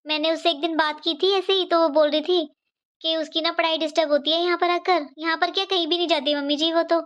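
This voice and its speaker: A woman voicing a gentle, dutiful young daughter-in-law in an animated family drama, speaking in a polite, soothing, deferential manner. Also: extremely high pitch, quick pace. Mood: polite, explaining.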